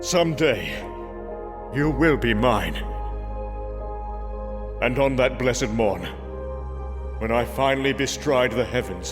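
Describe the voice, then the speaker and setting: A man acts out a proud young Norse thunder god in a dramatic audio drama. He is boastful and commanding, with slow delivery and big swings in pitch, over clear background music. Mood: brooding resolve.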